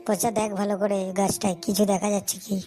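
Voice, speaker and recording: A woman's voice: medium pitch, little pitch movement, fast talker, noisy background, close to an everyday voice. A woman voicing a bossy, scheming young boy in a comedy cartoon, with a pushy, chatty, cajoling way of talking. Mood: pushy, impatient. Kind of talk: Bengali comedy cartoon voice acting.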